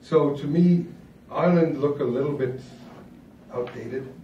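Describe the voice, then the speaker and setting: A middle-aged man from Wales hosting a casual rugby chat in a small studio, relaxed and chatty, often repeating words as he thinks. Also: mid-range voice, a little slow, far from the mic, muffled recording, slight background noise. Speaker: host. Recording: roomy studio sound, mic set back.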